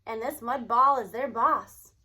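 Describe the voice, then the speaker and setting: A woman reading a children's novel aloud in an expressive storybook style, acting out the dialogue. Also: fairly high pitch, a little slow. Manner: animated, acts out the characters' dialogue.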